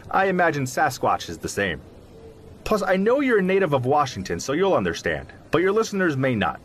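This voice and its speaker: A man's voice: medium pitch, fairly bright tone, a little hiss or hum. A man playing an articulate, self-assured zoologist explaining his field in an audio drama interview, in an emphatic, professorial manner. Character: articulate, self-assured male zoologist who believes in Sasquatch. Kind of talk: audio drama interview, scripted but conversational.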